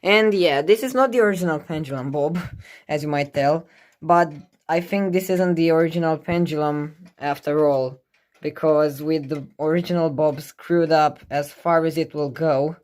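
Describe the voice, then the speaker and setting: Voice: mid-range voice, normal speed, a little mellow. A person giving a casual hands-on demonstration as a collector, thinking aloud in a musing, hesitant way. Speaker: clock collector presenting a clock. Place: indoors beside a ticking clock.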